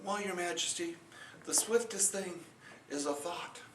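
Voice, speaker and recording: A man voicing a timid peasant farmer who speaks softly and stammers nervously, recorded in a roomy space from a distance. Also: sits high, a little slow, slight background noise. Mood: humble. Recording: roomy echo, distant mic.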